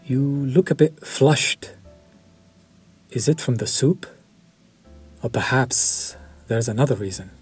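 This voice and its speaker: Vampire chef, played by a man: unhurried, husky, formal and seductive, over faint music. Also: mid-range voice, animated, heavily acted. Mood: suave. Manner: polished, formal and flirtatious, lingering over words. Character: suave vampire chef, courteous, quietly predatory. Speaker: voice actor in audio roleplay. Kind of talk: scripted audio roleplay.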